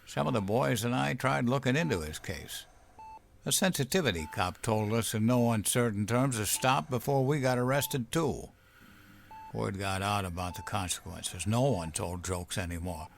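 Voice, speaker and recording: An actor in an audio drama playing a nostalgic old grandfather, gently and wistfully telling his memories to his granddaughter. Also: on the low side, normal speed, vocal fry. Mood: somber.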